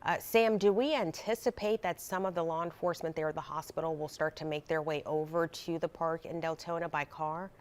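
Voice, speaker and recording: A woman's voice: deep voice, steady tone, fairly quick, few pauses, smooth. A woman anchoring live breaking news coverage, talking conversationally with frequent fillers and restarts.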